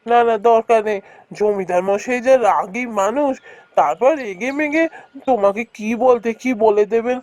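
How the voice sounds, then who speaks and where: A woman's voice: on the high side, moderate pace, darkish tone, dry recording, lightly acted. A woman voicing an ailing, anxious village husband in a cartoon, speaking in a weary, pleading way. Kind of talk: cartoon voice acting.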